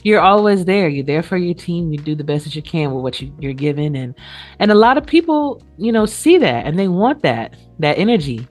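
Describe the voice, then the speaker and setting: A woman hosting a casual chat show, talkative, informal and expressive. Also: sits low, brisk. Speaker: show host. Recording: background music under the voice.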